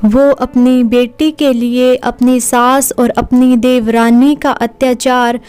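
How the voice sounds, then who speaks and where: A woman's voice: fairly high pitch, level tone, slow pace, darkish tone, clean tone, faint music behind. A woman narrating a scripted cartoon story.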